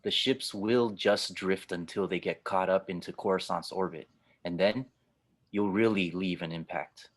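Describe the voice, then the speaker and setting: A man's voice: low voice, unhurried. A man playing an angry, terse cyborg fighter in an audio drama table read. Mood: tense, doubtful. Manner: terse, bitter.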